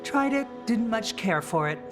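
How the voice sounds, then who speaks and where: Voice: mid-range voice, lively intonation, moderate pace, close to an everyday voice. An actor playing a poised, wry aristocrat and rebel leader in a fantasy video game, urbane and formal. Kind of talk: dramatic video game acting. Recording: faint music behind.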